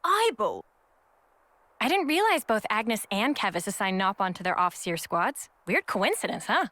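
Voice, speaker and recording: A woman's voice: mid-range voice, very animated, normal speed, a bit bright, dry recording. A woman playing a perky, curious young soldier in a video game, breezy and informal.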